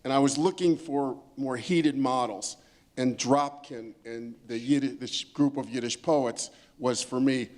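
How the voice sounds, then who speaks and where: A man's voice: medium pitch, measured pace, darkish tone. A middle-aged man speaking as an expert panelist in an emphatic, lecturing way, repeating phrases as he builds his point. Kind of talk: panel discussion, thoughtful commentary.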